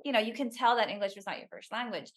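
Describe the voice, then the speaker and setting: A woman's voice: on the high side, lively intonation, quick pace. A woman whose first language is Spanish, a guest telling stories reflectively in a casual interview. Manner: reflective, storytelling.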